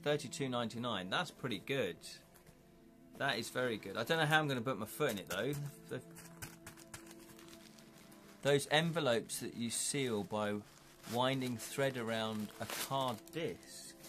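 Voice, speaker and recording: A man with an English accent hosting a casual live stream, chatty and excitable, chuckling often as he unboxes things at home. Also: mid-range voice, measured pace, pauses often, soft background music. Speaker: livestream host.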